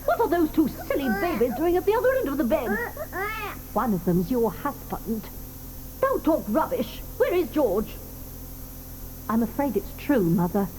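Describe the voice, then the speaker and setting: An actress playing a cantankerous, sharp-tongued old grandmother in a 1980s audio drama, scolding and hurling insults. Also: sits high, big pitch swings, normal speed, pauses often, low-quality recording. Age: older. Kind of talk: 1980s radio drama acting.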